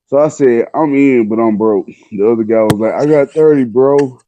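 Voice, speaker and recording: A man's voice: mid-range voice, moderate pace, background noise. A man telling a personal story in casual slang, laid-back and conversational, acting out the dialogue as he goes.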